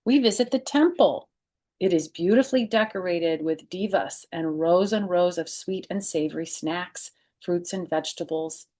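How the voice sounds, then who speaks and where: A woman's voice: on the low side, normal speed, mellow. A woman hosting a children's storytime, reading a picture book aloud expressively and adding chatty, informal explanations.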